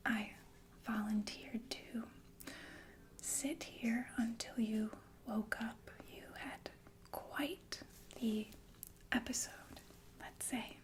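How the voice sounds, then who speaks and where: A woman playing a sinister, falsely soothing killer nurse in an ASMR roleplay, speaking in a genteel, deliberate breathy whisper with murmured asides. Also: fairly high pitch. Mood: calmly menacing. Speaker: ASMR performer.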